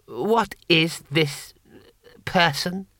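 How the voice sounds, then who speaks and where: A man's voice: on the high side, bright, forceful tone, no echo, clean studio sound, voice pushed up, full character voice. A man voicing an ancient, gleefully cruel emperor in a thin, whispery old voice for an audiobook. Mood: curious, amused. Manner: slow, halting, drawn-out. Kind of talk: audiobook character voice.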